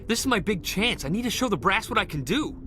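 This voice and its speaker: A man acting an eager, restless young marine corporal in a video game, excitable and earnest. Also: sits high, fast. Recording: loud music behind the voice. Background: music.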